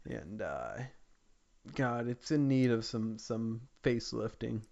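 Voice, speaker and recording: A man's voice: mid-range voice, very animated, unhurried, creaky, darkish tone, highs cut off. A man co-hosting a podcast, chatting informally about video games and swearing freely. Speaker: podcast co-host.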